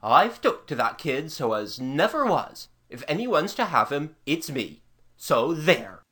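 A woman voicing a sour, sneering male footman who speaks in a drawn-out working-class dialect. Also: deep voice, sing-song, a bit bright, slightly rough, voice pushed down, exaggerated voice. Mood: possessive.

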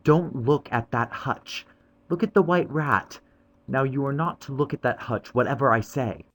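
A man's voice: medium pitch, moderate pace, fairly bright tone, pitched above the performer's own voice. Storybook schoolboy, firm and commanding, played by a male reader. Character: boy, eldest brother, firm and bossy.

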